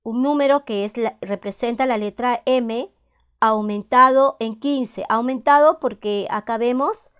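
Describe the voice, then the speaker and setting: A woman teaches math at a slow, unhurried pace. Her voice sits fairly high, and she goes patiently through each step, repeating it and stopping to ask whether it is clear. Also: fairly bright tone. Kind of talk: slow step-by-step classroom explanation.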